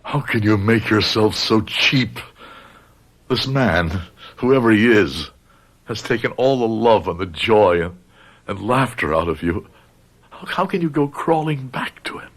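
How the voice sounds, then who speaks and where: Brooding, deep-voiced middle-aged father. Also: lively intonation, unhurried, low-quality recording. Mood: anxious, pleading. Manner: grave, brooding, dramatic. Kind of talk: radio drama acting with first-person narration.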